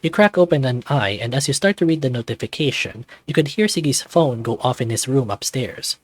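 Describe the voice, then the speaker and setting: A man's voice: mid-range voice, lively intonation, fairly quick, dry recording. Man narrating a story game, dry close sound. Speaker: visual novel player and narrator. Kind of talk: read-aloud story narration with asides.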